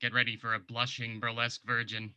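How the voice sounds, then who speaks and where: A man's voice: medium pitch, monotone, a little fast, sharp tone, clear, resonant tone. A man playing a corny, awkward robot-themed burlesque emcee in a table read, with a stagey announcer's delivery. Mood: showy announcing.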